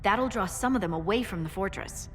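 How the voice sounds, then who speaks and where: Wry, practical scout officer played by a woman, game cutscene, plain-spoken, middle pitch, long reverb and faint rumble. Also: brisk, fairly bright tone, soft background music, natural voice. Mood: wry, businesslike. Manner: plain-spoken, matter-of-fact. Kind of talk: video game dialogue.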